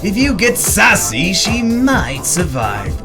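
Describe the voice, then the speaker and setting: Psychopathic clown villain, gleeful, mock-affectionate, wildly animated pitch, radio drama, music behind. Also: male voice, slow pace, full character voice. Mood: taunting.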